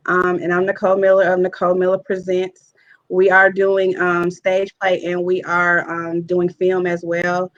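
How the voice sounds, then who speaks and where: Muffled, even-toned woman introducing herself. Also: fairly low pitch, moderate pace. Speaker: guest, stage play writer-director. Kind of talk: casual self-introduction. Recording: muffled lo-fi online call.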